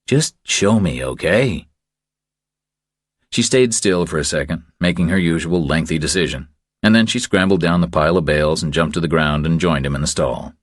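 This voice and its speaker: A man's voice: a bass voice, a little fast, dry recording, clean studio sound. A man reading a thriller audiobook as a scripted narration.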